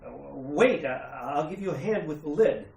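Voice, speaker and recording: A man playing an unflappable, slightly fussy judge with a halting, courteous manner in a retro radio horror play. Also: a little high, expressive, a little mellow. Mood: cautious. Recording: roomy, echoing sound.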